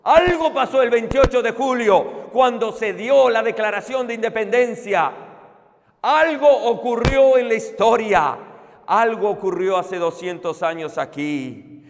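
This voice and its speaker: A man's voice: very high voice, slight background noise. A man preaching to a conference audience, emphatic and full of rhetorical questions to the crowd. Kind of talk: conference sermon. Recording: live hall recording with long reverb.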